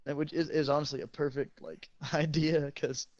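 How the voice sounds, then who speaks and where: A teenage man, a high school football player, casually telling crude, joking, rambling stories to a friend. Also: flat delivery, normal speed, darkish tone, dry recording, lo-fi sound.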